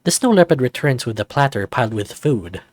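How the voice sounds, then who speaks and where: A man's voice: mid-range voice, big pitch swings, fairly quick, dry recording. A man reading scripted story narration as the narrator of a visual novel.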